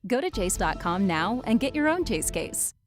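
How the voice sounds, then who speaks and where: A woman's voice: mid-range voice, big pitch swings, normal speed, soft background music. A woman reading a polished, upbeat scripted advertisement. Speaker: ad announcer. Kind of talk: scripted ad read.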